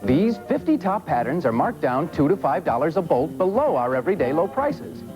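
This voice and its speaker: Man, commercial announcer, highish swooping voice, scripted retail read, music bed. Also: big pitch swings, moderate pace, poor audio.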